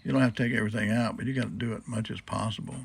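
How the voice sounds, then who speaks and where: A man's voice: lowish voice, steady tone, racing, vocal fry, slight background noise. Folksy man giving a hands-on demonstration. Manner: folksy, asks and answers own questions. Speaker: hobbyist demonstrating old radio restoration. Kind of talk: casual hands-on demonstration, unscripted.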